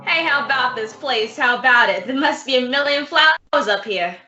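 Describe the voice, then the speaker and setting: A woman's voice: sits high, expressive, normal speed, pushed and bright, faint music behind. A woman playing a brash, evasive man who stonewalls questions in a student radio drama. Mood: gawking. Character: evasive, defiant male lab owner. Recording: echoey, dull lo-fi room recording.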